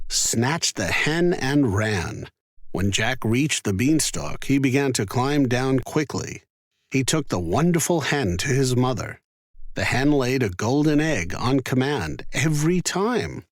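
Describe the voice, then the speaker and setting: A man tells a children's fairy tale at a slow, careful pace. His mid-pitched voice rises and falls expressively, and the recording is dry and close. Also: big pitch swings, no echo. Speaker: storyteller.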